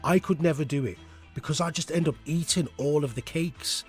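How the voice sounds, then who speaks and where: A man's voice: a little high, moderate pace, a little mellow, faint music behind. A man asking questions casually as a radio interviewer.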